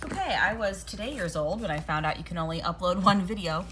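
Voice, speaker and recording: A woman's voice: lowish voice, animated, a little fast, background noise. A woman giving a casual, chatty product demonstration, rambling a little as she thinks aloud. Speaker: product reviewer.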